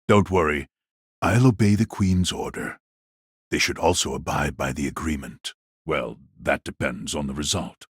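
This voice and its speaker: A man playing an aloof, indifferent fighter in an audio drama, speaking in a relaxed, husky low voice. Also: dry recording, studio quality. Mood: calm, indifferent. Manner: relaxed, unhurried. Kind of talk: audio drama character dialogue.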